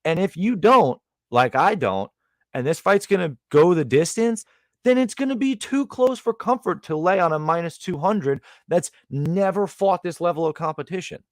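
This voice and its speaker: Excitable slangy man talking fight bets. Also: high-pitched, normal speed, no room sound, clean studio sound. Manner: animated, slangy, calls people dog. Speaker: podcast co-host, betting analyst. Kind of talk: casual sports betting chat.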